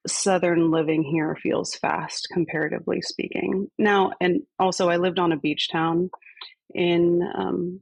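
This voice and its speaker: A woman in her thirties, a podcast guest, talking in a relaxed, reflective way with many fillers. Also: lowish voice, gravelly, no echo. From grew up on a base in Spain. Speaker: guest, fire department fitness coordinator. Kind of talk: conversational podcast interview.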